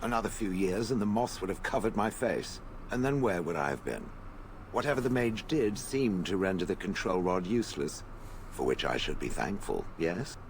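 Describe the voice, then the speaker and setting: An actor playing a sardonic stone golem from a fantasy video game, haughty and contemptuous of people. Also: male voice, sits low, animated, slight background noise. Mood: imperious. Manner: haughty, sardonic, deliberate phrasing.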